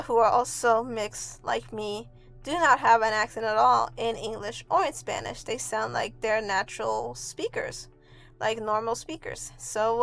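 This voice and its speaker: A woman's voice: a little high, vocal fry, fairly bright tone. A woman from Mexico, giving a casual, rambling voice-over in an offhand, self-deprecating, sweary way. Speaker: video artist and host. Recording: amateur home recording with music underneath. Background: music.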